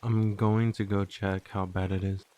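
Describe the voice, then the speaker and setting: Man acting low, dark-voiced worried husband. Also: little pitch movement, measured pace, lightly acted. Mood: worried, practical. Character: cautious husband stranded in a storm.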